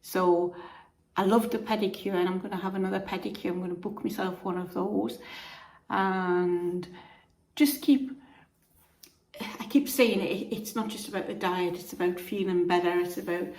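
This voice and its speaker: A woman with a croaky voice from being unwell, chatting to camera in a homely, rambling way with frequent restarts. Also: fairly low pitch, fairly even intonation, moderate pace, darkish tone. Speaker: vlogger. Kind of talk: casual chat to camera. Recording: echoey room.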